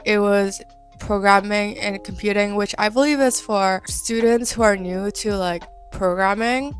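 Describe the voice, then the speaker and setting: Chatty young woman from Indonesia. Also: medium pitch. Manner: earnest, chatty, many fillers. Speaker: vlogger. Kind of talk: casual storytelling to camera.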